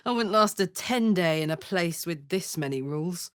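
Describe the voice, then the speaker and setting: A woman's voice: on the low side, animated, unhurried, dry recording, studio quality. A woman voicing a brash, big-hearted, hot-tempered warrior in a fantasy video game, speaking bluntly, informally and with open emotion. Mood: wry, casual.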